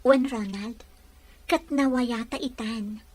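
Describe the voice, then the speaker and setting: A woman's voice: on the high side, slow pace, darkish tone. A woman playing an earnest young adult daughter in an audio drama, with an Ilocano accent. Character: earnest, pleading grown daughter, soon to marry.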